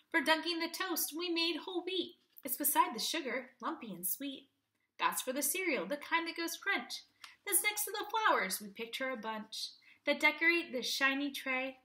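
A young woman reading a children's picture book aloud like a teacher, in an expressive sing-song way. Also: high voice. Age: young adult.

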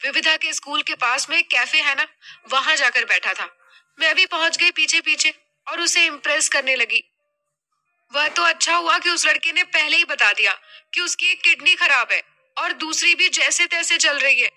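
A vain, spoiled young woman acted by a female narrator from an audio drama. The voice is high and piercingly bright, whining with dramatic indignation. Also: moderate pace, faint music behind. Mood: whiny complaining.

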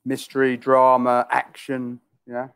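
A middle-aged Englishman with a London accent chats as an online English tutor, talking into a headset from a small room. He keeps rephrasing and repeating his questions as he goes. His pitch sits in an ordinary middle range. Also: monotone, slow, a bit bright, clear, resonant tone. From England. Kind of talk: casual video-call conversation.

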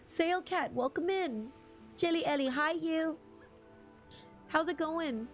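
A young woman streaming a game, chatting casually, greeting viewers and reading chat aloud with many ums. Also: high-pitched. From half English, half Bruneian. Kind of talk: casual live-stream chat while gaming.

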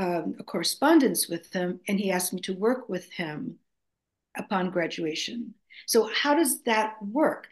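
An older woman whose first language is Greek, speaking as an author guest over a video call, telling stories in a reflective way with many restarts. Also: medium pitch. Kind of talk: conversational storytelling. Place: home room, video call.

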